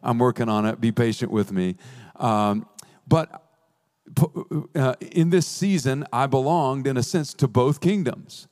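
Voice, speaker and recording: A man preaching a sermon as a pastor, earnest with playful asides, slowing down to stress key phrases. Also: medium pitch, a little mellow.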